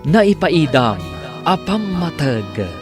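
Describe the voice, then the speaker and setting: A man's voice: on the high side, big pitch swings, takes it slowly. A man with an Ilocano accent reading scripted dramatic narration as a radio serial's announcer. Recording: music bed behind the voice.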